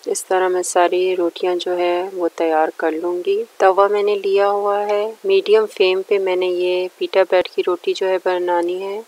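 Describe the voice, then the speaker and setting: A woman's voice: fairly low pitch, completely flat delivery, unhurried, few pauses, a little mellow, no room sound, low-quality recording. A woman narrating a recipe step by step in a plain, instructional way. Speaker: cooking show host.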